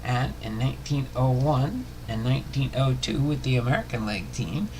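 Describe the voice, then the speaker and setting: A man hosting a livestream, talking casually and reading aloud in a halting way with uneven phrasing. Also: mid-range voice, expressive, background noise. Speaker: host of a baseball biography livestream.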